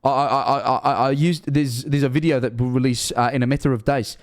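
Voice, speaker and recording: A man's voice: medium pitch, fairly even intonation, a little fast. A Scottish man of 21 streaming casually, rambling and self-mocking, swearing often.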